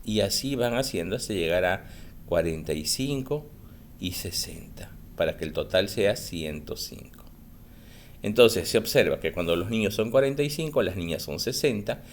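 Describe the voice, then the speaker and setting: A male school teacher giving a recorded math lesson for children, explaining slowly and patiently and answering his own questions. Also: fairly low pitch, a little hiss or hum.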